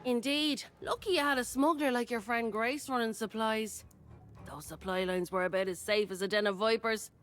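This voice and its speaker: An actress playing a cocky, sly smuggler in a video game, with a wry, breezy manner. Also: female voice, on the high side, normal speed, fairly bright tone, soft background music, natural voice. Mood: wry, pleased. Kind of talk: video game character acting.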